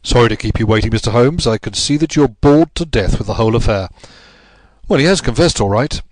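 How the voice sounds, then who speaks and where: A man's voice: mid-range voice, a little fast, no room sound, close to an everyday voice. A man voicing a self-important, bullying police inspector in an audiobook, taunting and pleased with himself. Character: smug, bullying male police inspector, gloating. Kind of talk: audiobook character voice.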